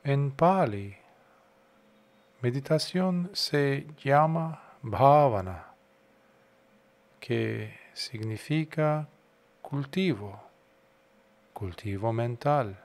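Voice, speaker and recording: A man guiding a meditation as a monk would, unhurried, in short phrases separated by long pauses. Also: mid-range voice, lively intonation. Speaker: Buddhist monk, meditation guide. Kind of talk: guided meditation talk.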